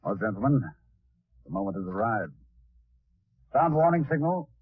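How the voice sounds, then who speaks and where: A man's voice: on the low side, big pitch swings, moderate pace, no room sound. A man playing a composed spaceship commander who speaks with formal authority in an old radio drama. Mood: commanding. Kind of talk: 1940s radio drama acting. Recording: tinny old radio recording, loud music.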